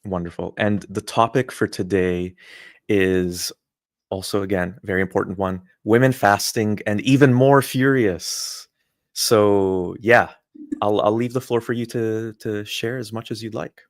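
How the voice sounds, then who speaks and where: Guy hosting a video call show, low gravelly voice, dry close sound. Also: steady tone, a little slow, dry recording. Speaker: host. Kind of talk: live online talk show.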